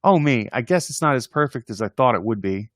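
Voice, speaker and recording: A man hosting a casual read-aloud of children's poems, playful and expressive in verse, with informal chatty asides. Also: medium pitch, fairly quick, no echo, clean studio sound. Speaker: host reading poems aloud on a livestream.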